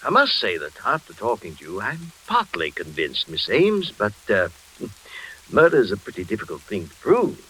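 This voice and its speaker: An actor playing a courteous, hesitant, evasive middle-aged doctor in 1940s radio style. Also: male voice, fairly low pitch, huge pitch swings, normal speed, a bit bright, poor audio. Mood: polite, measured. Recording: hissy old radio drama recording.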